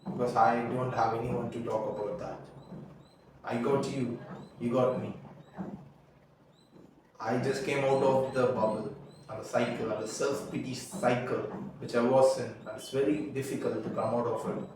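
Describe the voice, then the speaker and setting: A young man whose first language is Tamil, telling a halting, earnest confessional story and often restarting his words. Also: medium pitch, fairly even intonation, background noise. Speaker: vlogger. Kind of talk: confessional monologue. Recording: echoey room, mic at a distance.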